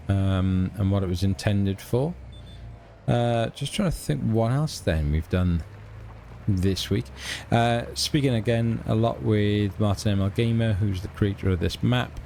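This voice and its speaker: A man's voice: low voice, a little mellow, a little hiss or hum. A middle-aged man with a Welsh accent, hosting a casual let's play and chatting about his game in a rambling, hesitant way with lots of ums and restarts. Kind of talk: casual gameplay commentary.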